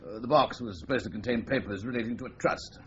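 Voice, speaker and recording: An actor playing a senior British policeman in a radio crime drama, briefing a subordinate with brisk, dry authority. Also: mid-range voice, natural voice. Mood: brisk, exasperated. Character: brisk senior policeman, male superior briefing his inspector. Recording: muffled radio drama recording, faint noise.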